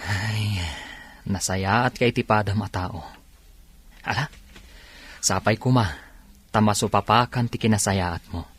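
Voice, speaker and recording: Husky, grumbling young husband. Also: male voice, fairly low pitch, measured pace, pauses often, fairly bright tone. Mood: sceptical. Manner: complaining, sceptical. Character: grumbling young husband, village radio drama. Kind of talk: Ilocano radio drama acting.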